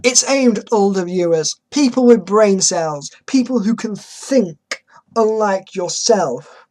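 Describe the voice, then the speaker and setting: A woman's voice: mid-range voice, lively intonation, takes it slowly, darkish tone. A woman hosting a dramatic reading, commenting with sarcastic, exasperated emphasis. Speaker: host reading an article aloud with commentary.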